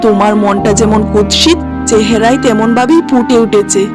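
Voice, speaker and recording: A woman's voice: fairly high pitch, moderate pace, loud music behind the voice, lightly acted. A woman voicing a young husband in a cartoon, exasperated and lecturing reproachfully. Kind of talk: cartoon dialogue.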